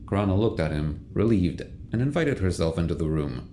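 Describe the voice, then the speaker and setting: A man's voice: low-pitched, animated, fairly quick, dark tone, a little hiss or hum. A man reading scripted third-person narration for an audio drama, as a storyteller. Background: music.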